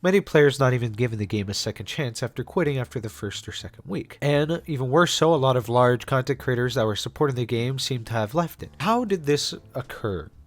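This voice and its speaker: A man's voice: medium pitch, lively intonation, a little fast, few pauses. Congested young man narrating a game essay. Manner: casual, many fillers and restarts. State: congested after being sick. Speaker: gaming YouTuber. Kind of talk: scripted video essay narration.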